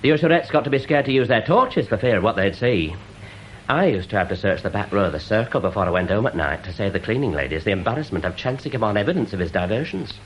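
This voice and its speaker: A man's voice: on the low side, lively intonation, fast talker, lightly acted. A man playing a censorious older English busybody in a radio drama, gloomily relishing scandal. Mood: scandalized. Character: prudish, gossipy older male former cinema manager. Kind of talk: British radio drama acting. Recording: faintly noisy radio drama recording.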